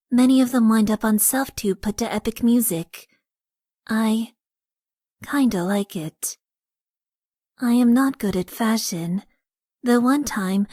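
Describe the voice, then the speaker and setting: A deadpan stoic mecha pilot girl. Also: a little high, dry recording, clean studio sound. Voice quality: flat, deadpan delivery. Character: stoic young female mecha pilot, emotionally suppressed. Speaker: game character. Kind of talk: dating sim voice lines.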